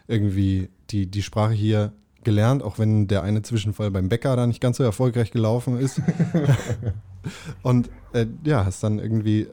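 Mellow-voiced male podcast host. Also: lowish voice, fairly even intonation, unhurried. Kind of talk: casual podcast interview.

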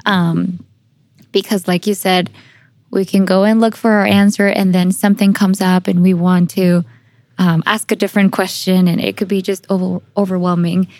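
Even-toned young woman interviewing, dry sound. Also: lowish voice, normal speed, no echo. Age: young adult. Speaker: podcast interviewer. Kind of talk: casual conversational interviewing.

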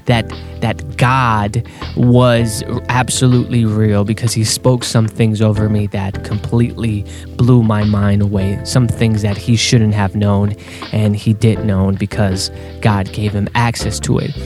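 A man hosting a faith podcast, talking earnestly and a little haltingly with fillers and restarts. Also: lowish voice, little pitch movement. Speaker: podcast host. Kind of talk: casual podcast intro. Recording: clear guitar music underneath.

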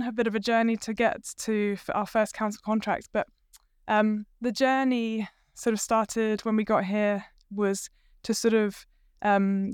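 A woman's voice: medium pitch, clean tone, dry recording, studio quality. A British woman, from the UK, in her thirties, chatting casually as an interview guest with lots of fillers. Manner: chatty, many fillers and hedges. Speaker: guest, company co-founder.

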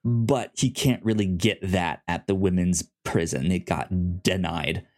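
Low-voiced man recapping a comic casually. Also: unhurried. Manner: retells scenes loosely, with stuttered repeats and "like". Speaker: podcast host. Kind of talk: casual comic book discussion.

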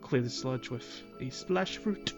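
A man's voice: mid-range voice, takes it slowly, music in the background. A man co-hosting a gaming podcast, chatting casually and explaining things in an informal, hesitant way. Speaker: podcast co-host.

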